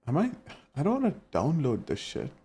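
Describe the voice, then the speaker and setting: A man's voice: a little high, wildly swooping pitch, a little fast, dark tone, breathy edge. A young man from India with an Indian accent, chatting casually with his live-stream viewers and reacting to their messages.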